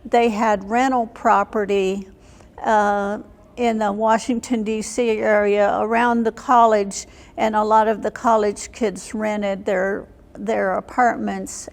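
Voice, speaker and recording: An older woman, in her seventies or beyond, recalling her life as an interview guest in a plain, halting way. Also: mid-range voice, little pitch movement. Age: 70s or older. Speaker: interview guest, military veteran. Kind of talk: reminiscing in an unscripted interview. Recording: long hall reverb.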